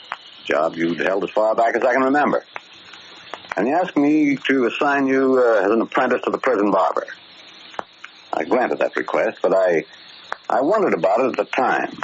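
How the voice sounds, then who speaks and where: A man's voice: on the low side, natural voice. An actor in a 1940s radio drama playing a paternal, exasperated prison warden, earnest and insistent in his questioning. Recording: muffled, hissy vintage radio broadcast recording.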